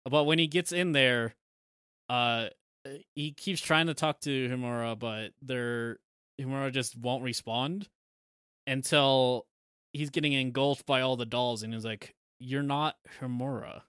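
A man co-hosting a podcast, chatting casually about a film in a halting way that often trails off. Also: medium pitch, fairly even intonation, a bit bright, smooth, dry recording, studio quality. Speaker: podcast co-host.

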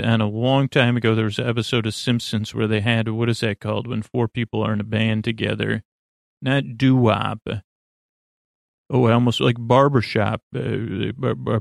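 A man hosting a bedtime podcast in a creaky voice, delivering a halting, meandering monologue meant to lull listeners to sleep. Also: mid-range voice, no room sound, clean studio sound. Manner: meandering, halting, drifts off topic. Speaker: podcast host.

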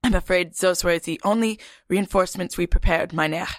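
A woman's voice: on the low side, a little fast, dry recording, studio quality, close to an everyday voice. A woman voicing a dutiful, earnest German military doctor with a German accent in a comedy. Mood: deferential, uneasy. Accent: mock German. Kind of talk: comedic character acting.

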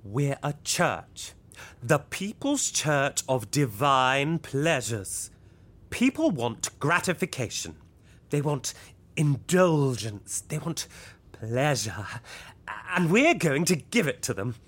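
A woman's voice: very deep voice, sing-song, takes it slowly, husky, heavily acted. A woman playing a pompous, scheming cult leader who preaches pleasure in an audio sitcom. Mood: insistent, irritated. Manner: pompous, theatrical, emphatic.

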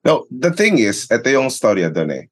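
A man's voice: medium pitch, sing-song, moderate pace. A man with a Filipino accent, a sports announcer talking as an interview guest in a conversational, storytelling way, repeating phrases for emphasis. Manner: mixes English and Tagalog, repeats phrases for emphasis.

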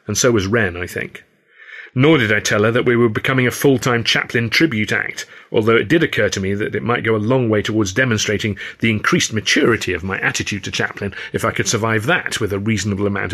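A man's voice: on the low side, a bit bright. A man narrating his own first-person novel as an audiobook.

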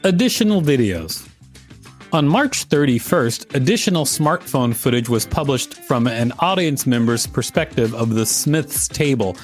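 A man's voice: mid-range voice, lively intonation, measured pace. A man hosting a show, reading an encyclopedia-style article aloud. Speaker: podcast host and reader. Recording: music behind the voice. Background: background music.

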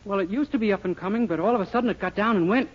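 A man's voice: high voice, very fast, natural voice. An actor playing a naive, well-meaning, bumbling young man in an earnest, guileless way, in a 1940s radio comedy. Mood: innocent, polite. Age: young adult. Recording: muffled, noisy 1940s radio recording.

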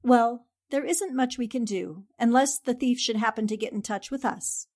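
A woman playing a polite, cautious newspaperwoman in a 1940s-style radio drama, measured and reserved. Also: on the high side, darkish tone. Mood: cautious, reassuring.

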